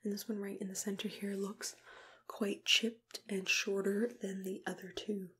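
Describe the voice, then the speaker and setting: Young woman, whispered ASMR, soft-spoken dentist roleplay, reassuring, unhurried, close mic, noticeable ambient noise. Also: mid-range voice, flat delivery. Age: young adult. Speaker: ASMR creator playing a dentist. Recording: clear background noise and room ambience.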